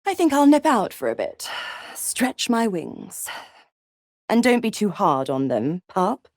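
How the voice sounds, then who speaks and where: A woman's voice: mid-range voice, sing-song, slow, a little mellow, no echo, clean studio sound, exaggerated voice. A woman voicing a sly, seductive devil in a video game, mocking and condescending, with a teasing theatrical drawl. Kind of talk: video game character acting.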